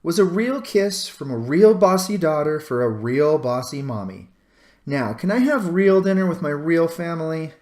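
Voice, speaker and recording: A man's voice: fairly high pitch, sing-song, normal speed, a little mellow, reverberant, voice pushed up. A man reading a children's storybook, voicing a bossy, determined young farm girl with an insistent manner. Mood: stubborn, cheeky.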